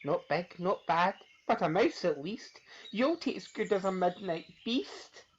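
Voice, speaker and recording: A woman voicing a brave young female Gruffalo child who speaks boldly in a storybook reading. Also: on the low side, animated, a little slow, highs cut off, slight background noise, voice pushed up. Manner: bold, a bit bossy.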